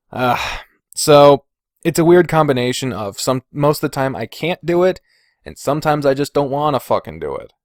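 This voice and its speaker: A man's voice: a little high, big pitch swings, normal speed, pauses often, no room sound, studio quality. A man talking casually as a podcast host, candid and rambling, hesitating and qualifying himself, with casual swearing. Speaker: podcast host and video creator.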